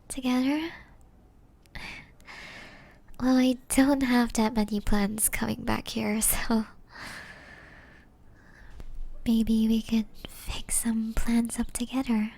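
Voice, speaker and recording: A woman performs a remorseful young ex-girlfriend in an intimate ASMR roleplay. She whispers breathily and tenderly in a fairly high voice, taking her time over every phrase. Her melody stays very flat and gentle, with small hesitations. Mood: shy, relieved.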